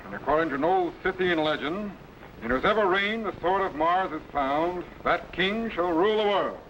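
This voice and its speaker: An actor playing a confident, commanding time-travelling captain in a brisk, businesslike manner. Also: male voice, sits high, animated, fairly bright tone, lo-fi sound. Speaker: lead character. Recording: noisy old radio recording.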